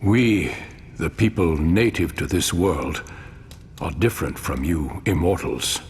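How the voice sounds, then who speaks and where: Very deep, slow, solemn ruler. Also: male voice, husky, full character voice. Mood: grave, resigned. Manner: measured, formal, deliberate. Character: weary mortal male ruler, grave, idealistic yet pragmatic. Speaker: voice actor in a game cutscene. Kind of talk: dramatic game cutscene acting. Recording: long hall reverb, low rumble.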